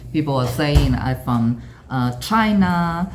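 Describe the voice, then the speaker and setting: A woman's voice: low voice, lively intonation, slow, background noise. A middle-aged woman from Taiwan with a Taiwanese accent, reflecting earnestly on her life, often restarting and repeating words as she searches for them. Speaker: interviewee. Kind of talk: personal storytelling.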